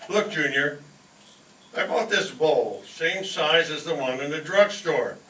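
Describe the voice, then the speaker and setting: A man acts out a bossy, scheming radio comedy father who keeps muttering numbers as he counts. His voice sits fairly high, heard from a distance in an echoey room. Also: animated, unhurried. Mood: busy, eager. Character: bean-obsessed father, eager, bossy, scheming. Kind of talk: old-time radio comedy acting. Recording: echoey distant live stage, noisy room.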